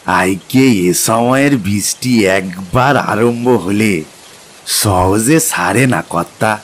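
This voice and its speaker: A man playing a huge, simple village porter with a heavy husky voice and rural Bengali accent in an audio drama. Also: fairly low pitch, slow pace. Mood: matter-of-fact, deferential. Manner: simple rustic way of talking.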